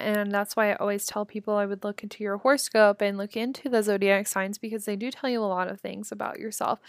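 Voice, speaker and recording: A raspy, hoarse young woman in her twenties, hosting a motivational podcast in a chatty, breezy way with casual swearing. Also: medium pitch, a bit bright, dry recording, clean studio sound. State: hoarse from screaming. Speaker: podcast host.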